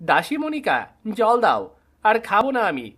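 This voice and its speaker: Young cartoon prince performed by a man, headstrong, high boyish pitch, lively swings. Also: normal speed, breathy edge, exaggerated voice. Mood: petulant, bossy. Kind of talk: Bengali fairy tale cartoon.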